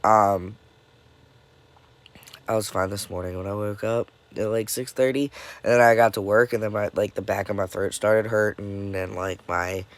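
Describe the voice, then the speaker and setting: A young man giving a casual personal update, stuffy and congested from a cold, dead tired, talking haltingly with many pauses and fillers. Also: fairly low pitch, fairly even intonation. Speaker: vlogger.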